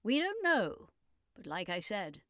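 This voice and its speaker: A woman's voice: medium pitch, huge pitch swings, a little slow, fairly bright tone, no room sound. A confident circus master, a man voiced by a woman reader in an audiobook fantasy. He explains things with a commanding, businesslike manner. The recording is dry and close. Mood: assured, businesslike. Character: assured male circus master, leader and storyteller.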